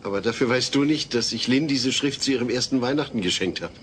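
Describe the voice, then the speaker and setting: A man's voice: medium pitch, fast, fairly bright tone, a little hiss or hum, natural voice. An actor playing a mild, bemused middle-aged father in a sitcom audio play, with dry, hesitant delivery.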